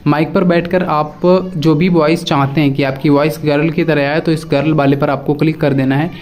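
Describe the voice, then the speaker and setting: A man's voice: on the high side, fairly even intonation, moderate pace, a little mellow. A man presenting a casual step-by-step phone app tutorial, addressing viewers directly and often repeating his instructions.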